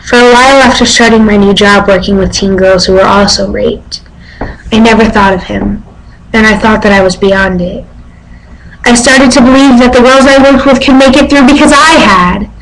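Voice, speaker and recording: A woman's voice: on the high side, normal speed, close to an everyday voice. Woman acting an earnest, wounded woman in monologue. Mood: pained, reflective. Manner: earnest, confessional storytelling. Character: earnest, wounded young woman therapist and survivor. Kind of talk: dramatic stage monologue. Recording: noisy webcam microphone with room noise.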